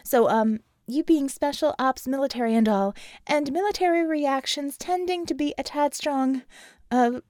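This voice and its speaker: A woman's voice: high-pitched, normal speed, darkish tone, dry recording, clean studio sound, close to an everyday voice. A woman voicing a nervous, earnest female techno-magician, hesitant and wry, in an audiobook.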